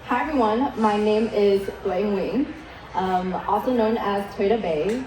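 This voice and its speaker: A woman's voice: mid-range voice, a little slow, darkish tone, slight background noise. A young woman from Vietnam, whose first language is Vietnamese, giving a keynote speech and telling her story in a candid, casual way with many "likes" and "ums". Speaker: keynote speaker. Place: event hall. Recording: echoey event hall.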